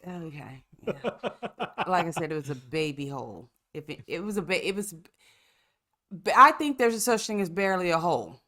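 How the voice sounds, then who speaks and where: A woman's voice: on the low side, expressive, normal speed, sharp tone. A woman hosts a casual podcast while stuffed up with a cold. She tells stories with sassy, profane humor and laughs often. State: congested, coughing. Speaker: podcast host. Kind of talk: casual chat.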